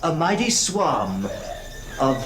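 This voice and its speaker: An actor playing a haunted gentleman who narrates a horror radio play, intense, with dramatic pauses. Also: fairly high pitch, very animated, noisy background. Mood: horrified.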